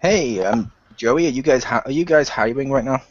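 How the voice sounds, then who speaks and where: A man's voice: medium pitch, normal speed, close to an everyday voice. A man playing a cheeky academy graduate angling for a job, casual and wry in an improvised role-play. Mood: cheeky, eager. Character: cheeky young male academy graduate seeking work. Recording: slightly dull voice chat audio.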